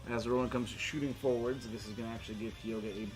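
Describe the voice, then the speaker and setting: A man's voice: medium pitch, fairly even intonation, fast talker, low-quality recording. A man running a tabletop role-playing game as game master, talking and narrating in an informal, sweary way. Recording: music playing behind the voice.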